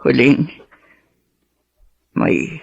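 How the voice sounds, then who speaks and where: Radio drama: middle-aged Filipino mother, fragile, very expressive, dry studio with soft music. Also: extremely low pitch, extremely slow, creaky, slightly rough, no echo, dull audio, heavily acted. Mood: anguished. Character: gentle, anguished middle-aged mother who is gravely ill.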